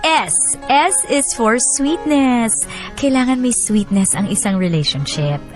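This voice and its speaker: A woman radio host with an expressive delivery, presenting relationship advice and letters on air. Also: on the high side, slow, soft background music.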